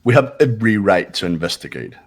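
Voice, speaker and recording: A man's voice: lowish voice, very animated, fast. A man voicing a cold, haughty, condescending young man who gives orders in a fan-voiced visual novel game. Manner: condescending, clipped.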